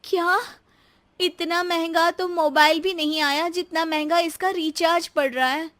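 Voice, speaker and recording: A woman playing a young housewife in an animated moral story, complaining in a dramatic, whiny manner. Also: very high-pitched, unhurried. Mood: exasperated. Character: exasperated young Indian daughter-in-law, complaining housewife.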